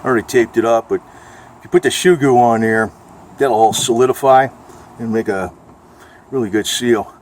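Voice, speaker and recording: A man's voice: mid-range voice, moderate pace, pauses often. An American man chatting casually in a rambling homemade vlog, informal and salty in a matter-of-fact way. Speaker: amateur video blogger. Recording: noisy amateur recording, vehicle ambience.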